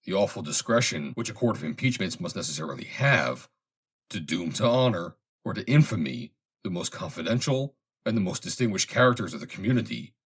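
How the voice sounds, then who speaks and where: A man reading a formal historical essay aloud in a measured, deliberate way. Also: low voice, lively intonation, breathy edge. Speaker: reader, narrator.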